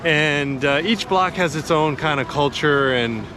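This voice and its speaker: A man's voice: on the high side, a little slow. A man giving a casual walking tour as a vlogger, chatty and laid-back but enthusiastic, talking into a handheld action camera outdoors. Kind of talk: casual chatty walk-and-talk narration. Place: outdoors in a busy city park. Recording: outdoor action camera with clear city noise.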